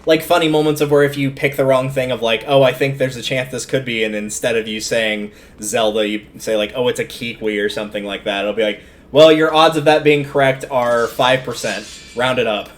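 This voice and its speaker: A man's voice: mid-range voice, brisk, hardly any pauses. A man giving casual, chatty, opinionated live commentary as he streams a video game, rambling off into asides. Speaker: game livestreamer.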